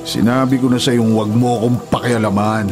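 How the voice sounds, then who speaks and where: An actor with a Filipino accent playing a ruthless, defiant illegal logger and domineering husband in a Tagalog radio drama, menacing and scornful. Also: male voice, medium pitch, steady tone, normal speed, natural voice. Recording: dramatic music behind the voice. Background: music.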